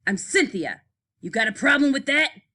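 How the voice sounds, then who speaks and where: A woman voicing a brash, touchy female monster in a storybook, raspy and snappish. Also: high-pitched, very animated, normal speed, pushed and bright, pitched above the performer's own voice, full character voice. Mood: snappy, offended. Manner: sassy, snappish. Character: brash, touchy, slimy girl monster named Cynthia. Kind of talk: picture-book read-aloud.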